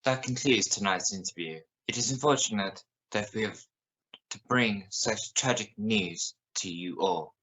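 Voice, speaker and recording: A man playing a grave television news interviewer in an amateur radio play, formal and measured. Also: on the low side, sharp tone, rough recording. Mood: solemn.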